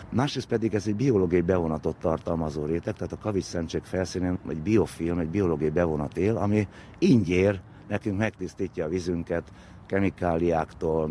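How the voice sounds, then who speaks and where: Very deep-voiced man explaining, muted tone. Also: mellow. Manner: explanatory, uses vivid comparisons. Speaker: expert interviewee. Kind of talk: spoken explanation, informal lecture-like. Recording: faint background noise and ambience.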